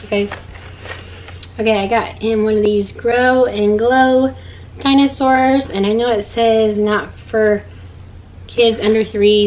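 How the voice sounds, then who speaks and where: A woman in her thirties with a hoarse voice, chatting casually to camera about her kids' stocking gifts in a log-home bedroom. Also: mid-range voice, slight background noise, low-quality recording. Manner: chatty, upbeat, showing items. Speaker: vlogger.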